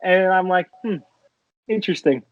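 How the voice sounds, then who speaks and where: A man with a higher voice talks sports bets in an animated, chatty way. The sound is dry and dull, like a lo-fi podcast recording. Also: moderate pace, dry recording. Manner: chatty, animated, laughing banter. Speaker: podcast co-host, sports bettor. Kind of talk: casual sports betting chat.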